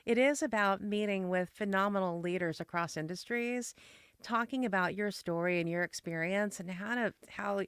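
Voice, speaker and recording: A woman's voice: medium pitch, normal speed, fairly bright tone, clear, resonant tone, no room sound. A woman hosting a podcast interview in a studio, enthusiastic and gushing, with many likes and yeahs. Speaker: podcast host. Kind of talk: hosted interview.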